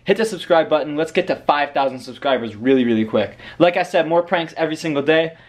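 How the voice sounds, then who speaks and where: Animated young man, high-pitched strained voice. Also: quick pace. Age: young adult. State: voice strained, nearly gone from shouting. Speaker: prank video host. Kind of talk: casual vlog chat. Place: living room, indoors. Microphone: camera at arm's length. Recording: echoey room, some background noise.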